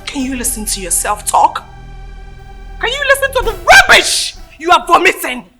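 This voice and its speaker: A woman playing a jealous, hot-tempered young princess who shouts and scorns. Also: very high voice, wildly swooping pitch, normal speed, soft background music, heavily acted. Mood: furious. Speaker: actress in a comedy skit. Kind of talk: skit acting.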